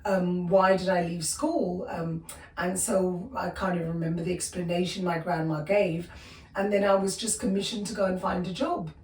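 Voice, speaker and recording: A woman's voice: on the low side, steady tone, normal speed, a little mellow, echoey room, distant mic, rough recording. South African woman in her fifties. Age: 50s. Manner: hesitant, many fillers and restarts. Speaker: guest. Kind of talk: personal storytelling interview.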